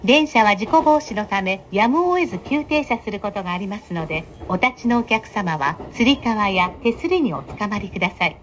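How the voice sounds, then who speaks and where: Formal female train announcer, dull noisy sound. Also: expressive. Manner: polite, formal, measured enunciation. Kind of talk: scripted in-car station announcement. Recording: dull train PA with clear rumble. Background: train running noise.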